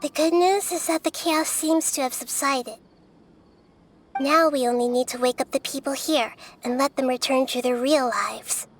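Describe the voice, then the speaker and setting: A woman playing a small, gentle, wise girl with an earnest, composed manner in a video game cutscene. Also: sits high, normal speed, slight background noise. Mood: grave, explaining. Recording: music behind the voice.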